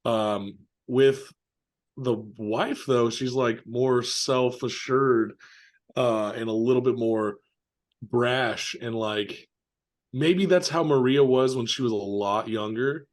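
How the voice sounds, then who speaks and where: Male co-host, medium pitch, informal slang-heavy delivery for casual movie discussion. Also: slow. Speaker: film podcast co-host.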